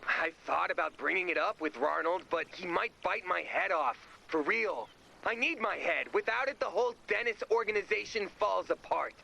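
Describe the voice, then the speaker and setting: A man playing a goofy, self-important huckster in a comic video game, talking in an animated, salesman-like way. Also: high voice, normal speed, vocal fry, exaggerated voice, poor audio. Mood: worried, self-important. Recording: loud music behind the voice.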